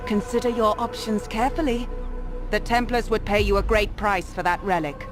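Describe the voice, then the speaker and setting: A woman's voice: medium pitch, moderate pace, music in the background, natural voice. A woman voicing a haughty, defiant Templar captive in a video game, with a taunting manner. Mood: taunting, commanding. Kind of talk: scripted game cutscene acting.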